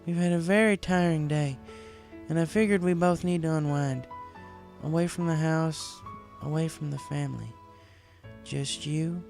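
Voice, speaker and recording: A man voicing a caring, hardworking young female farm pony in a storybook reading, gentle and earnest. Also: a little high, fairly even intonation, a little slow, vocal fry, soft background music, voice pushed up. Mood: tender. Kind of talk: acted storybook dialogue.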